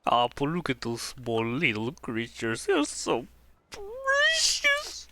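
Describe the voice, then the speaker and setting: A man's voice: mid-range voice, huge pitch swings, takes it slowly, noisy background. A man doing casual, playful game commentary as a streamer, repeating words as he reacts. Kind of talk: casual playthrough commentary, reading some dialogue aloud.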